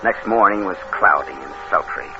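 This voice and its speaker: An actor playing a cold, scheming killer with a hardboiled, deadpan way of talking in an old radio crime drama. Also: male voice, low-pitched, normal speed, music in the background. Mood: cold, matter-of-fact. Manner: hardboiled, deadpan narration, falsely solicitous with others. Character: cold, scheming killer narrating his crime, posing as nephew. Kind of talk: 1940s radio drama acting. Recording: muffled, noisy old radio recording.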